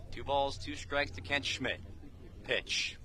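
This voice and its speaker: A man's voice: mid-range voice, steady tone, takes it slowly, sharp tone. A man calling live baseball play-by-play for radio in clipped, telegraphic phrases. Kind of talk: live sports commentary. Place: outdoors at a baseball game.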